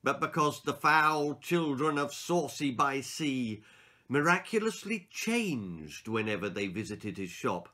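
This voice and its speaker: Male storyteller, 60s, dramatic reader of children's tales, bright, keen-edged voice with very varied intonation. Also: mid-range voice, unhurried. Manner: expressive, theatrical, emphatic. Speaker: author reading his own children's stories. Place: small book-lined room indoors.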